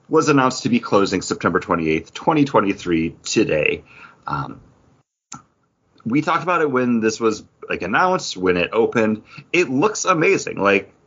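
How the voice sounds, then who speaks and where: A man's voice: fairly low pitch, a bit bright. A man talking casually as a podcast host, in dull lo-fi audio. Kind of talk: casual chat.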